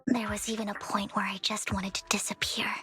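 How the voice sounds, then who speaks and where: A woman voicing a soft-spoken, melancholy and devoted young woman in a video game, with a hushed, deliberate delivery. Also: mid-range voice, little pitch movement, over music, lightly acted. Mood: wistful, confessional.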